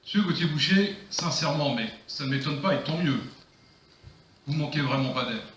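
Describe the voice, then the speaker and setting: A man with a bright, forceful voice, pitched a little high, argues as a mayor in a council debate. He is emphatic and declamatory, repeating phrases for effect. The room is very echoey. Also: moderate pace, far from the mic. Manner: emphatic, declamatory, rhetorical repetition. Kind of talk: improvised political rebuttal. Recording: echoey room, faint noise, clicks.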